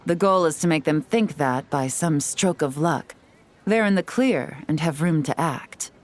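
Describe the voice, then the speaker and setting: An actress playing a shrewd, composed woman intelligence agent in a video game, measured, knowing and self-assured. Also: low-pitched. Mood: confident, scheming.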